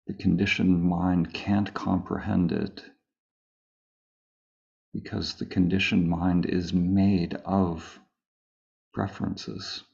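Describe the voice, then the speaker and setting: A man leading a guided meditation as a teacher, speaking calmly and unhurriedly with long pauses between phrases. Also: deep voice, gravelly, dull audio. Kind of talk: slow guided meditation, spoken live.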